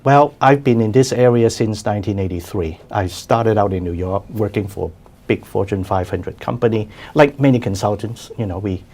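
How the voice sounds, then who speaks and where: An older man from China, raised in Hong Kong, hosts a business talk show in a casual chat, often repeating words and restarting sentences. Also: darkish tone. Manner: repeats words and restarts, quick laughs, voice drops low when reflective. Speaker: show host, business consultant.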